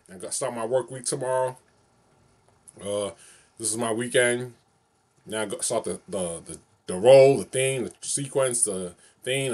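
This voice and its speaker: A man talks straight to a webcam in a small bedroom. He rambles casually and loops back over the same phrases again and again. The sound is plain and close, picked up by the camera's own mic. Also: medium pitch. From New York City. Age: 40s. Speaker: vlogger. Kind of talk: casual solo vlog to camera.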